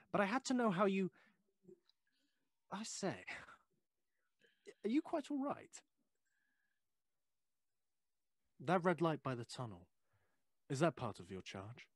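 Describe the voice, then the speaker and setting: Polite nervy victorian gent, super animated voice, nosy and effusive, dry sound, audio play. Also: male voice, fairly high pitch, no echo. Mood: curious, polite. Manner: polite, hesitant, effusive, old-fashioned phrasing. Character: courteous, inquisitive, nervously chatty Victorian gentleman visitor. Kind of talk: audio drama acting.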